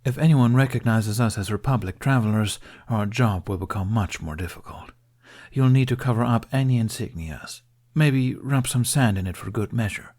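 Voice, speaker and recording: A man voicing a seasoned, dryly formal Jedi master with a measured manner in an audiobook. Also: mid-range voice, darkish tone, pitched above the performer's own voice, lightly acted. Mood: cautious, instructing. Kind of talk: audiobook character dialogue.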